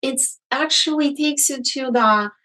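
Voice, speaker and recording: Woman, slow, hesitant fillers, interview guest. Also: high-pitched, pushed and bright. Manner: deliberate, with many ums and uhs. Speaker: guest, magazine editor. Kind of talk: interview answers.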